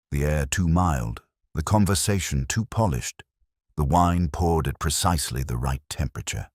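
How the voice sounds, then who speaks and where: Very deep-voiced man narrating detective fiction. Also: husky, no room sound, clean studio sound. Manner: measured, brooding, literary phrasing with deliberate pauses. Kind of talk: first-person detective story narration, scripted.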